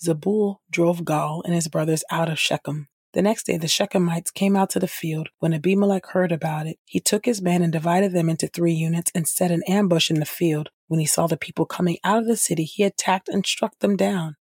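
A woman reading scripture aloud for an audio Bible podcast in a measured, formal manner. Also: lowish voice, steady tone, a little mellow, husky, no echo, clean studio sound. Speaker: scripture reader and podcast host.

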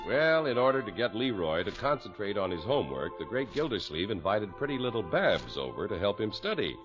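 A man's voice: lowish voice, big pitch swings, brisk, music in the background. A male radio announcer reading a script in a polished, emphatic 1950s style with careful enunciation. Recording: dull 1950s radio recording.

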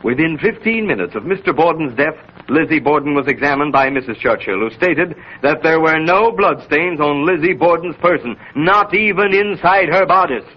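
A man's voice: on the high side, animated, a little hiss or hum, close to an everyday voice. Man playing a defense lawyer, impassioned, dramatic swoops, oratorical emphasis, 1930s radio acting, dull old recording. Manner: oratorical, deliberate emphasis and repetition. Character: impassioned male defense lawyer in 1890s courtroom.

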